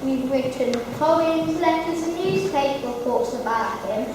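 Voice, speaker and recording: A woman's voice: high voice, a little slow. A young girl playing a helpful, knowledgeable pupil in a school play. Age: child or young pupil. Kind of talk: scripted school assembly acting. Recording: echoey school hall, distant mic, noisy.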